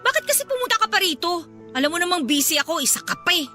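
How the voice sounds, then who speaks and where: A woman's voice: very high voice, big pitch swings, very fast, pushed and bright, exaggerated voice. A woman playing a stressed, irritable young female student and older sister in a Filipino radio drama, with a Filipino accent. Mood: stressed, irritated. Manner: irritable, complaining, emphatic. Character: exasperated twenty-year-old female pre-med student, stressed older sister. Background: music.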